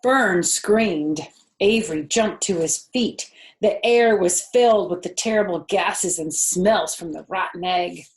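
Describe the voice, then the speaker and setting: Woman, slightly low voice, expressive storyteller, children's read-aloud, chatty asides. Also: unhurried, low-quality recording. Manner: expressive, addressing young children.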